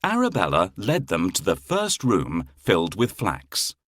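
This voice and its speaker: A man narrating a children's fairy tale in an expressive, theatrical, deliberate way. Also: sits low. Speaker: storyteller.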